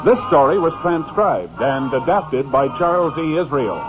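A man's voice: on the high side, animated, normal speed. A man working as programme announcer, reading credits and introductions in formal 1950s radio style. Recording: dull old radio recording with music.

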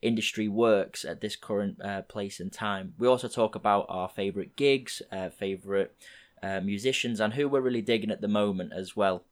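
A young man in his twenties from England, hosting a podcast and talking through a rambling solo intro in a slightly croaky voice. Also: lowish voice, normal speed. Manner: relaxed, rambling, with frequent fillers. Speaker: podcast host.

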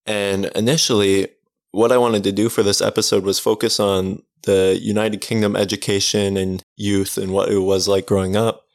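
A young Canadian man hosting a casual podcast, relaxed and rambling with restarts. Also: fairly low pitch, normal speed, dry recording, studio quality. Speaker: podcast host.

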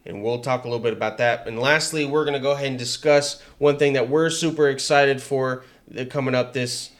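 A man co-hosting a casual political podcast, talking in energetic bursts with frequent restarts. He has a higher voice than his co-host. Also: a little fast. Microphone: headset mic with headphones.

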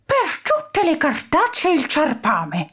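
Woman doing a boisterous old toothless man, junkyard dweller, eccentric, higher voice, swooping, storybook. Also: huge pitch swings, takes it slowly, a bit bright, exaggerated voice. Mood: jovial. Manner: boisterous, rhyming, exclaiming. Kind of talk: storybook reading.

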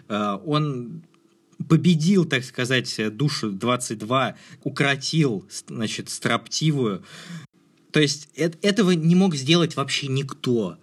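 A man co-hosting a podcast, talking casually about a film with emphatic storytelling and halting phrasing. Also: mid-range voice, lively intonation. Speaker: podcast co-host.

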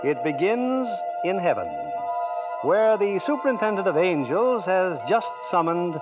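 A man's voice: high voice, expressive, unhurried. A man giving dramatic, storybook-like narration for an old radio drama. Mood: wistful, dramatic. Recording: tinny old radio recording with music.

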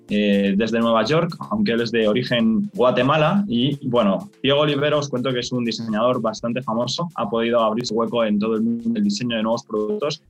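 Male co-host from Spain, measured delivery, soft background music. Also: mid-range voice. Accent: Spanish from Spain. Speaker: podcast co-host. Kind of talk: podcast hosting.

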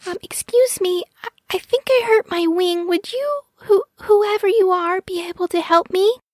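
A woman's voice: extremely high pitch, moderate pace, darkish tone, no room sound, pitched above the performer's own voice. A woman voicing a timid, earnest young female baby owl with a hesitant, stammering delivery in a storybook reading. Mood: timid, pleading.

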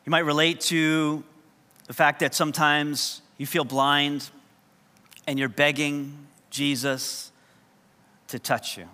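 A man's voice: a little high, little pitch movement, slow pace, long pauses, sharp tone. New pastor guy preaching, chatty and jokey, voice rings out in a big hall. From New York. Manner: conversational, humorous storytelling. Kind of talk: sermon. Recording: long hall reverb.

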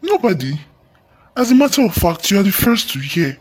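Man playing a husky, anguished young believer. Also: sits high, big pitch swings, a little mellow, full character voice. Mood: troubled. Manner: slow, anguished, repeating his phrases. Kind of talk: audio drama acting.

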